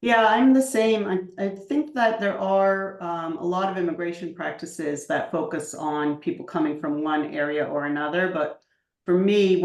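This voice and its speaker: An American woman with a Washington DC accent speaks in a low voice over a video call. She talks chatty and enthusiastic, with plenty of ums. The room around her echoes noticeably. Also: creaky. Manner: chatty, filler-heavy, enthusiastic. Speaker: UK immigration advisor. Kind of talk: webinar self-introduction.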